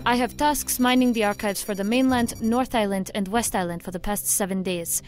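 A woman's voice: medium pitch, steady tone, moderate pace, a little mellow, faint music behind, lightly acted. A woman playing a businesslike technical agent in a science fiction audio drama, formal and precise in her speech. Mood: efficient.

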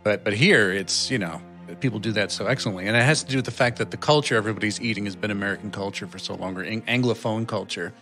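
Low-pitched male host, jokey and rambling, faint music behind. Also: fast talker, few pauses, bright, forceful tone. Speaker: podcast host. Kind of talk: casual conversational interview.

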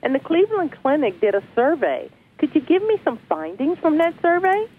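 A woman's voice: high-pitched, sing-song, a little fast. A woman hosting a broadcast interview, welcoming her guest effusively and asking questions. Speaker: talk show host. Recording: phone-like lo-fi line with faint noise.

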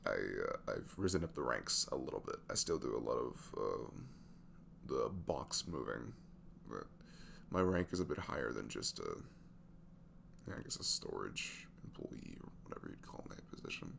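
Guy acting as a sweet tired single dad, asmr roleplay, super crackly low voice, rambles softly. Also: very animated, normal speed, gravelly, full character voice. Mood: casual, modest. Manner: hesitant, rambling, soft-spoken. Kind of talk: ASMR roleplay, casual intimate chat.

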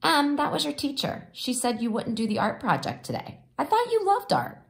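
A storybook mom, as a woman reader puts her on, concerned and reassuring. Her voice sits high and lilts upward as she questions her child. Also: wildly swooping pitch, moderate pace, vocal fry, heavily acted. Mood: puzzled. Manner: inquiring, bright upward lilt.

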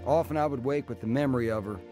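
An actor playing a world-weary gambler and crook who narrates a radio drama in a wry, clipped, hardboiled way. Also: male voice, mid-range voice, quick pace, music in the background, natural voice. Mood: wry recollection. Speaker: narrating protagonist. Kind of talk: first-person radio drama narration and acting.

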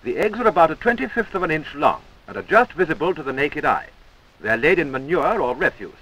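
A British man narrates a 1940s army instructional film in a fairly high voice that rises and falls with great animation. His scripted commentary is wry and chummy, heard through an old film soundtrack. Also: very animated, fairly quick, fairly bright tone, rough recording.

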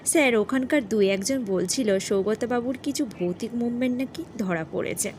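A woman's voice: fairly high pitch, normal speed, darkish tone, a little hiss or hum, close to an everyday voice. A woman voicing a deferential, hesitant male junior policeman who reports respectfully in an audio horror story.

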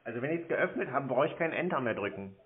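A man's voice: mid-range voice, expressive, fast. Terse, dry man on a dull line. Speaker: scam-baiter taking scam calls. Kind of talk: casual phone conversation. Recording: dull lo-fi phone call audio.

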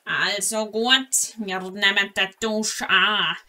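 A woman's voice: mid-range voice, fairly even intonation, slow pace, pushed and bright. A woman playing a grumpy, greedy, bossy troll with a blunt manner in a children's audio play. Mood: greedy, dismissive.

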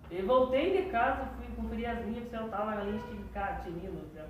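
A man's voice: high-pitched, brisk, a little hiss or hum. A young man, a shop owner telling fishing stories in a casual interview. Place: fishing tackle shop, indoors. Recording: echoey shop, far from the mic.

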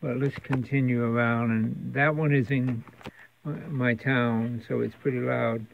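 A man giving a casual hands-on demonstration in a plain, unhurried, halting way. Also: mid-range voice, steady tone, gravelly. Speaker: radio restorer demonstrating his work. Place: basement with concrete walls. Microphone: handheld camera, operator behind it. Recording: dull lo-fi camera audio, faint noise.

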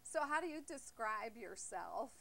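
A woman's voice: on the high side, expressive, unhurried, creaky, background noise. A woman hosting an informal interview, often reacting with exclamations and murmurs. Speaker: interview host.

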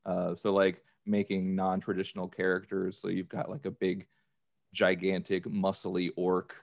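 Gravelly, lowish-voiced man chatting casually. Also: completely flat delivery, moderate pace, no echo, clean studio sound. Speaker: podcast guest, audio drama actor. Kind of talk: casual chat.

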